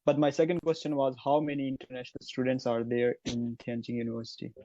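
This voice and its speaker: A man's voice: mid-range voice, flat delivery, fast, warm tone, no room sound, lo-fi sound. A man asking about admissions in an online Q&A, polite and thankful, with hesitant starts. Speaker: prospective student asking questions. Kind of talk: online Q&A session.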